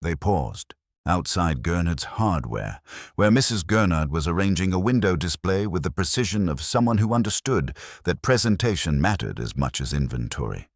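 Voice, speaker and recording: A man reading a detective story as scripted audiobook narration, in a measured, formal, literary manner. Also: low-pitched, big pitch swings, no echo, clean studio sound.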